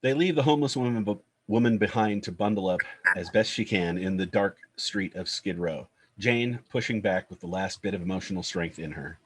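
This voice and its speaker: A man's voice: low voice, moderate pace, hardly any pauses, a little hiss or hum. A man reading screenplay stage directions as a scripted narrator.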